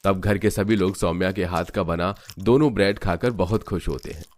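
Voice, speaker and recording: A man narrating a cartoon story in a steady, plain way. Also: sits low, moderate pace, faint music behind.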